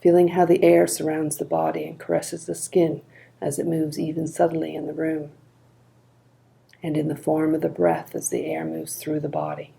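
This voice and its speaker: A woman leading a guided body scan meditation in a calm, gentle, unhurried manner. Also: low voice, gravelly, rough recording.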